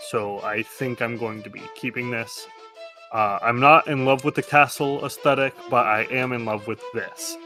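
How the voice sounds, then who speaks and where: A man's voice: mid-range voice, fairly even intonation, normal speed, bright, forceful tone, faint music behind, natural voice. A man voicing a smug, grudging wizard quest-giver in a tabletop game, haughty and withholding. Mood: smug, dismissive.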